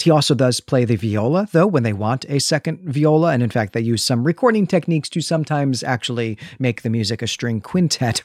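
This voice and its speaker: A man's voice: medium pitch, no echo, clean studio sound. A man co-hosting a podcast, giving an enthusiastic, opinionated review in a conversational way. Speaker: podcast co-host.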